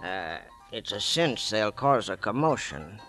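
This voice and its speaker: An actor in a 1940s radio drama playing a sly, smug frontier gambler who schemes and sneers. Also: male voice, lowish voice, big pitch swings, unhurried, sharp tone, faint music behind, exaggerated voice. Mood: scheming.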